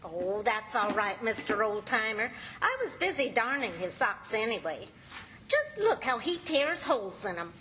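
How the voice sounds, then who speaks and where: A woman's voice: fairly high pitch, big pitch swings, normal speed, sharp tone, a little hiss or hum, poor audio. A woman playing a patient, teasing housewife with a wry streak in an old-time radio comedy. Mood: teasing, fond.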